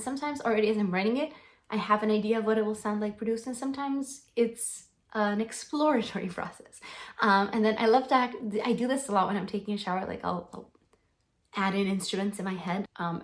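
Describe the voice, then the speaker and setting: A woman's voice: mid-range voice, a bit bright. Bubbly young woman gushing to camera. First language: Slovenian. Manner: bubbly, gushing, frequent ums and restarts. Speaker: singer-songwriter answering fan questions. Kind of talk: casual Q&A vlog.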